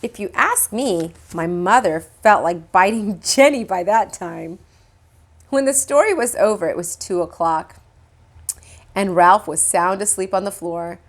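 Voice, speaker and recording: A woman's voice: medium pitch, a little slow. A woman reading a children's book aloud like a teacher, expressively and acting out the dialogue. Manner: animated, acts out dialogue.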